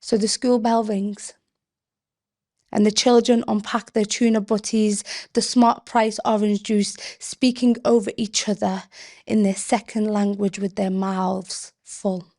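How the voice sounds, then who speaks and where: A woman poet from Britain giving a spoken-word reading, delivered in deliberate, expressive, measured phrases. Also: medium pitch. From Britain, of Yemeni family.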